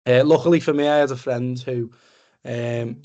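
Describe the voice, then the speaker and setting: A man's voice: medium pitch, steady tone, moderate pace, mellow, muffled recording. Man, Liverpool Scouse accent, young adult, level intonation, conversational, plain-spoken, informal personal presentation. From Liverpool area, England. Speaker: men's mental health support group speaker. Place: online webinar video call.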